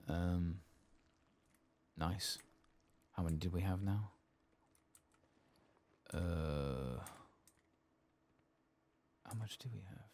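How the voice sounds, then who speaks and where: Very deep, creaky soft-spoken man. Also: slight background noise. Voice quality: gravelly. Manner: low-key, murmuring to himself while playing. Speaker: gaming streamer. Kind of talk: soft-spoken ASMR game playthrough commentary. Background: keyboard typing and mouse clicks.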